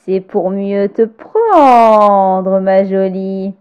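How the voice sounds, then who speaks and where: A woman's voice: medium pitch, extremely slow, a little mellow, clean tone, muffled recording. A woman voicing a wicked, greedy male wolf in a storybook tale, drawn out and sly. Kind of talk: storybook character voice.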